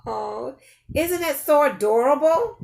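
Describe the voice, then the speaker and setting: An older woman reading a picture book aloud to young children, playful and expressive, often putting questions straight to her listeners. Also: high-pitched, slow, gravelly. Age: older, grandparent. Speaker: storytime host reading to children.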